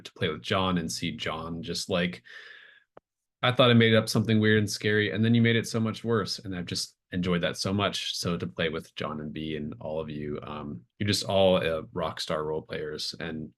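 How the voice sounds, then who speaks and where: A man's voice: sits low, fairly bright tone. A man who runs and writes tabletop games, talking casually in a post-game chat.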